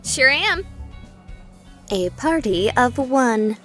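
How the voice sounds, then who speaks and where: A woman playing a goofy, excitable young livestreamer in a visual novel, chatting playfully with exaggerated enthusiasm. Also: sits high, drawn-out words, soft background music, heavily acted. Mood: friendly, evasive. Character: excitable, goofy young female livestreamer exploring haunted places.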